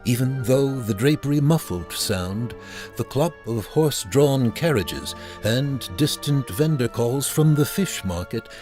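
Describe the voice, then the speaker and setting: A man's voice: mid-range voice, animated, a little slow, darkish tone, soft background music. A man narrating a scripted comic story in an ornate, dramatic, mock-serious manner.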